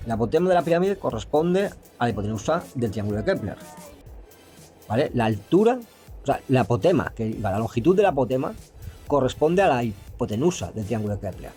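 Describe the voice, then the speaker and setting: A man explaining geometry step by step, pausing and restarting often and stumbling when he reads English passages aloud. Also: medium pitch, big pitch swings, warm tone, faint music behind. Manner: hesitant, with frequent restarts and fillers. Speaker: math explainer.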